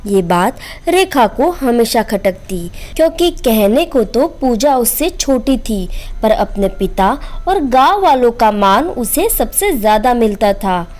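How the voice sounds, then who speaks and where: Female narrator for moral bedtime stories: scripted storytelling, unhurried delivery, fairly high voice. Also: very animated, a little mellow.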